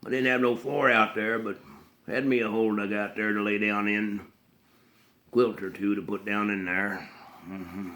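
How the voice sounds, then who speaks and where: A simple, haunted backwoods man from a Southern film drama, in a low voice with a rural Southern US accent. He mumbles plainly and haltingly, repeating himself and breaking his phrases with throaty grunts and hums. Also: flat delivery, bright, forceful tone. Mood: flat, halting.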